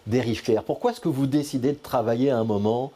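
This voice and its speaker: A man hosting a TV studio interview, asking quick, conversational questions. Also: medium pitch, warm tone. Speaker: TV show host.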